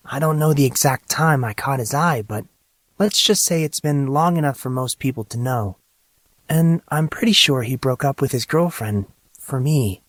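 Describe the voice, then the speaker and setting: A woman playing a composed but troubled teenage high school girl in an audio drama, talking in a measured, explanatory way. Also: no room sound.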